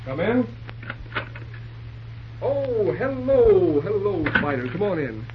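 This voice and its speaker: An actor playing a businesslike railroad official with a slightly husky voice in an old-time radio drama. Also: male voice, high voice, big pitch swings, slow pace, heavy background noise, exaggerated voice. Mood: welcoming. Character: businesslike railroad official planning a risky run. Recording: dull old radio recording.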